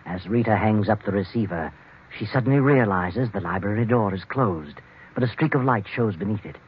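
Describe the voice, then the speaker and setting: A male actor narrating a suspense story in a deliberate, dramatic old-time radio style. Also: on the low side, a little hiss or hum, close to an everyday voice. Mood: suspenseful. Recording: dull old radio broadcast with music.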